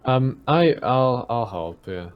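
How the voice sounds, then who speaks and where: A man with a medium-pitched voice talks as a player in a tabletop game. He is informal and a bit tentative, piecing his sentences together as he goes. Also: animated, takes it slowly, lo-fi sound, a little hiss or hum. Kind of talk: casual game chat.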